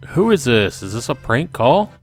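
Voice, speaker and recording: A man's voice: medium pitch, sing-song, moderate pace, faint music behind. A man voicing a reluctant, sarcastic and vain young man narrating his life in a visual novel, grumbling and exasperated. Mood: wary, irritated. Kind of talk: visual novel character reading.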